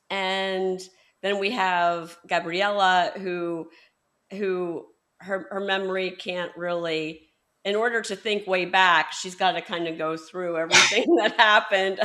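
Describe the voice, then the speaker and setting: A woman's voice: mid-range voice, a bit bright. A woman hosting a book podcast, asking questions in a thoughtful, hesitant way with frequent ums. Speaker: podcast host. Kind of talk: interview conversation.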